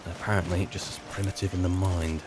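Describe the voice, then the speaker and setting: A man's voice: low voice, little pitch movement, quick pace, noisy background. A man improvising in character as he plays a fantasy game, with wry, hesitant muttered asides. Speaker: roleplay video maker and player.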